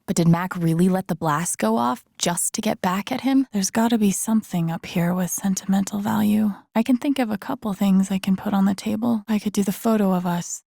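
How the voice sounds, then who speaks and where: Casting: wry, grieving young woman, game drama lead; soft, gravelly fry, introspective muttering, close dry sound. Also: fairly quick, few pauses, dry recording. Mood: wry, fond. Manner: low-key, introspective, muttering to herself. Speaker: video game voice actress. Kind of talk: naturalistic game character lines, inner-monologue asides.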